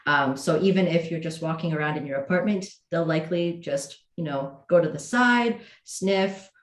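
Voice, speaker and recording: Woman host, low voice, chatty with lots of ums, echoey room over a video call. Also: normal speed. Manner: friendly, many fillers and restarts. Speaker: host of a cat training hangout. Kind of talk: casual livestream talk. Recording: roomy echo.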